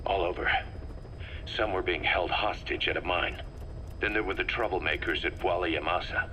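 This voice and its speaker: A man's voice: low voice, normal speed, sharp tone. A man playing a tough, pragmatic military commander in a video game, speaking in a terse, firm, businesslike way. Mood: tense, defensive. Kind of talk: dramatic video game voice acting. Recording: faintly noisy field tape.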